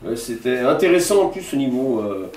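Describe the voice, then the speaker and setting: A man, a building site supervisor, speaking hesitantly with many pauses and fillers in a casual interview. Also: medium pitch, big pitch swings, darkish tone, a little hiss or hum. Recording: echoey room, mic far off.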